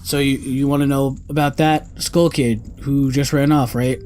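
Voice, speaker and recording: A man's voice: mid-range voice, steady tone, normal speed, a little mellow, a little hiss or hum, lightly acted. A man voicing a small, sassy, bossy female fairy companion, reading out video game dialogue. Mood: pert, bargaining.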